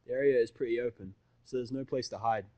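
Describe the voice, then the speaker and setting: A man's voice: medium pitch, fast talker, dark tone, no echo. Man narrating a story, very dry sound. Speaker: fan fiction narrator. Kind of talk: first-person present-tense story narration.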